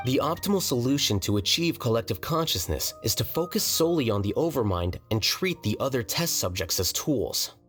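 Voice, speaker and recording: An actor playing an aloof young scholar in a video game, speaking in a measured, blunt, deadpan manner. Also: male voice, medium pitch, soft background music, natural voice. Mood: detached, explanatory. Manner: deadpan, measured, matter-of-fact.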